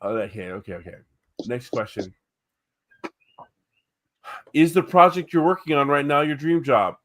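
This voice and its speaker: A man co-hosting a casual art livestream, enthusiastic and quick to agree. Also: mid-range voice, animated, fairly bright tone. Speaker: co-host and colorist.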